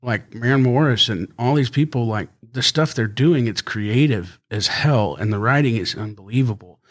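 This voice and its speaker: A man who is a country singer, chatting casually as a podcast guest and rambling easily with frequent laughs. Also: normal speed. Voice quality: high singing voice. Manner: easygoing, rambling, laughs often.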